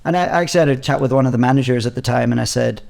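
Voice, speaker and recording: A man's voice: mid-range voice, racing, vocal fry, darkish tone. A man in his thirties from Spain, telling his story casually as a podcast guest. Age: 30s. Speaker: podcast guest, gym co-founder.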